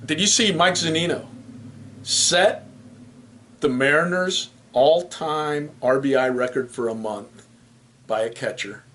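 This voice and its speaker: A man's voice: fairly high pitch, takes it slowly, fairly bright tone. A man hosting a casual sports talk show, joking and steering the discussion with questions. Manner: joking, runs the segments and asks the questions. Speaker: podcast host.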